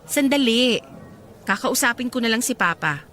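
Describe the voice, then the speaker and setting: An actress playing an insistent, scheming bride who coaxes and pleads, in an emotional radio drama style. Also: female voice, on the high side, animated, normal speed, a bit bright, a little hiss or hum. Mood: coaxing. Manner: coaxing, wheedling persistence. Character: insistent, scheming bride, pleading with her resentful half-sister.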